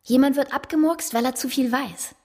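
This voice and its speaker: A high, husky little girl's voice in a radio crime drama. She is a precocious amateur sleuth who talks about grim things in a knowing, matter-of-fact way. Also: normal speed, mellow. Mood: matter-of-fact, morbid. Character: precocious morbid little girl playing detective.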